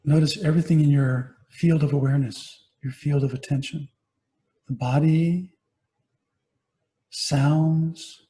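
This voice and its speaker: A man guiding a meditation as a teacher, giving gentle, unhurried instructions with pauses between phrases. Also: a little high, steady tone. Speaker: meditation teacher, Buddhist monastic. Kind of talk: guided body scan meditation.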